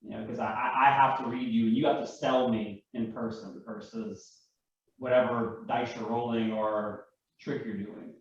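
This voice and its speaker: A man tells stories in a loose, conversational way, like a hobbyist guest on a panel. His voice is average in pitch. It sounds far from the microphone, with heavy room echo. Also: fairly even intonation, normal speed. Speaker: live action roleplay enthusiast, panel guest. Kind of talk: casual conversational storytelling. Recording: very echoey room, distant mic, muffled.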